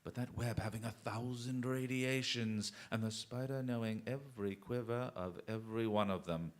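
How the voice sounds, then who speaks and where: A man performs a brilliant, restless gentleman detective for a radio drama. His medium-pitched voice is grandly theatrical and eloquent, with the quick wit of someone who is always a step ahead. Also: steady tone, lightly acted. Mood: serious, measured.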